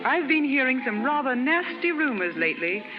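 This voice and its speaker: A woman's voice: high voice, big pitch swings, moderate pace, over music. A woman playing an insistent, suspicious society fiancée in 1930s radio drama, speaking in a pointed, formal way. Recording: muffled 1930s radio broadcast.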